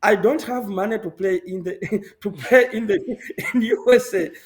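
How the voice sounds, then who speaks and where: Interview guest from Zambia, male, young adult, high voice, lively and emphatic delivery. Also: unhurried. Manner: animated, laughs often, emphatic repetitions. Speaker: guest, public health professional. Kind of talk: conversational interview answers.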